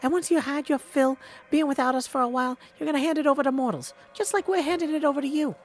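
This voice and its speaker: A man's voice: very high voice, quick pace, pitched above the performer's own voice. A man voicing a breezy, folksy goddess of fate from a video game, chummy and offhand. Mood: chummy, dismissive. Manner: offhand, chummy, calls listener sweetie. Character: breezy, folksy female Fates, casual elder goddesses. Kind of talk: video game character practice.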